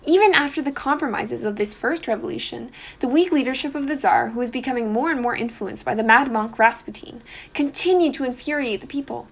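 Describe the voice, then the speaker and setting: Young female student narrator, high-pitched, scripted explainer delivery. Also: a little fast, gravelly, hardly any pauses.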